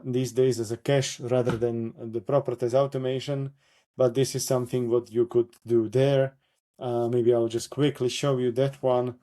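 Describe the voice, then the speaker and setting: Slovak-accented man, mellow, halting demo talk. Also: medium pitch. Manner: halting, many fillers and self-corrections. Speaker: workshop presenter. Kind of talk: live software demo walkthrough.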